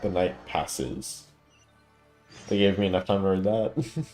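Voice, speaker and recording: A male gamer with a lowish, dark and mellow voice. He comments casually on his playthrough and reads out dialogue, with soft music behind him. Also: unhurried, reverberant, poor audio. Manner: offhand reactions, reads dialogue aloud. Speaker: gaming content creator. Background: game music.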